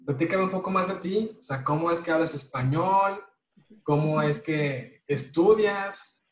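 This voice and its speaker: A man's voice: a little high, unhurried, echoey room. A man, an economics professor, chatting relaxedly in an interview and often repeating words as he thinks. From Mexico. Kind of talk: conversational interview.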